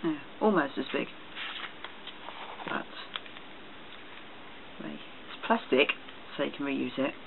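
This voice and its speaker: A man giving a casual, halting show-and-tell product review for a vlog. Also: medium pitch, expressive, gravelly. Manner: hesitant, halting delivery. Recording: tinny phone-like sound, loud noise, clicks.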